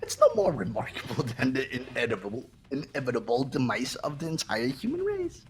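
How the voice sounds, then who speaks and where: A performer voicing a sadistic, gleeful robot bear headmaster in a game, with a raspy cartoonish voice and a theatrical, taunting manner. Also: medium pitch, sing-song, fairly quick, soft background music. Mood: callous taunting. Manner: theatrical, mock-innocent, swooping, taunting. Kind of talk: fan voiced visual novel playthrough.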